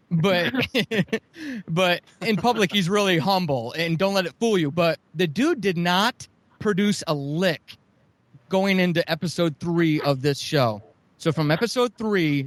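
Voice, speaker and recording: Earnest, effusive man with a higher-pitched voice. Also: no echo. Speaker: guest, audio drama producer. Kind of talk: enthusiastic interview talk, promoting shows.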